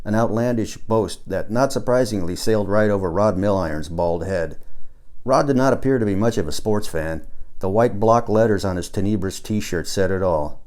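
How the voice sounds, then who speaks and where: A man narrating his own first-person book as an audiobook, with wry, expressive storytelling. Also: lowish voice, normal speed.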